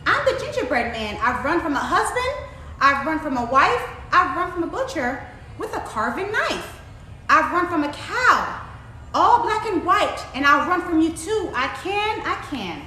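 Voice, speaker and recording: A woman's voice: extremely high pitch, very animated, unhurried, bright, forceful tone, reverberant, a little hiss or hum, pitched above the performer's own voice, exaggerated voice. A woman voicing a cocky, taunting little gingerbread man from a storybook in a boastful sing-song chant.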